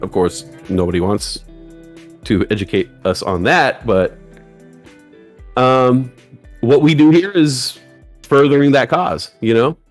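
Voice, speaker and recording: A man in his forties co-hosting a casual livestream chat, lively and acting out voices of people he quotes. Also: slow, long pauses, faint music behind. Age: 40s. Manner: animated, acts out quoted voices with big pitch jumps. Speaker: livestream co-host.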